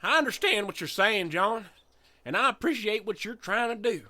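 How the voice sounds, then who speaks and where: A fickle, easily rattled pig farmer. The voice is extremely high and bright, swinging up and down in pitch. It is played for comedy in a western audio drama. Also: male voice, animated, normal speed, heavily acted. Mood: mock earnest.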